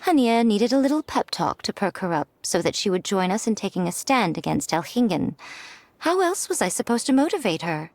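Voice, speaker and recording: A woman's voice: medium pitch, big pitch swings, brisk, a little mellow, no echo. Casting: sly, elegant game trickster lady, teasing and self-satisfied, very varied intonation, dry studio sound. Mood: smug teasing. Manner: smug, playful, poised. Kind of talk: video game voice acting.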